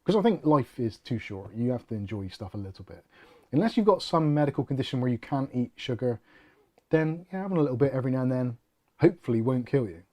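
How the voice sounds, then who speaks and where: Mellow-voiced British man chatting. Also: mid-range voice, expressive, fast. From United Kingdom. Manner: rambling, jokey, self-deprecating. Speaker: host of a tech channel livestream. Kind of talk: casual livestream chat, reading viewer comments.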